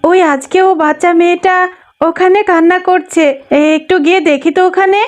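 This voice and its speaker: Woman as a very high-pitched, fretful cartoon guard. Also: steady tone, normal speed. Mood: worried, puzzled. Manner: fretful, wondering aloud. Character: anxious, puzzled animal graveyard guard.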